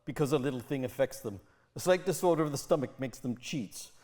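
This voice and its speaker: A man's voice: medium pitch, expressive, brisk, darkish tone, natural voice. A man playing a crotchety, skeptical old miser in old-time radio style, theatrical and scoffing. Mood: scornful, skeptical. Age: older.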